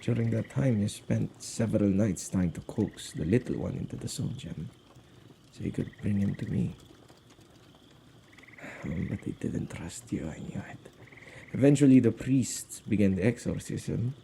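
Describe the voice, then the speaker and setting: Man playing a dark-voiced, gravelly protective male witch. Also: fairly low pitch, moderate pace. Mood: calm recounting. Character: anxious, protective young male witch, guardian of spirits. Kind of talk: intimate ASMR audio roleplay drama. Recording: faint background noise.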